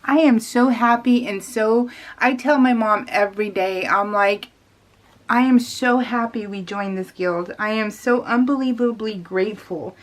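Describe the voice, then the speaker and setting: A woman's voice: fairly high pitch, a little slow. A middle-aged American woman telling personal stories in a casual, rambling, giggly way and acting out remembered conversations. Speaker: craft video blogger. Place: voice-over talk recorded while crafting.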